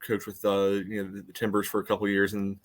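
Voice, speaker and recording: A man co-hosting a soccer podcast, giving casual analysis in a measured, conversational way. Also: fairly low pitch, monotone.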